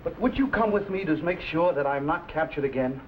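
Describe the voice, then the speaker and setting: A man acts out an earnest, anxious medieval explorer in an old serial. His voice is high and theatrical, with old-fashioned phrasing, on a muffled, very noisy soundtrack. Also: lively intonation, brisk, close to an everyday voice. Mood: grateful, puzzled. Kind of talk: 1950s adventure serial acting.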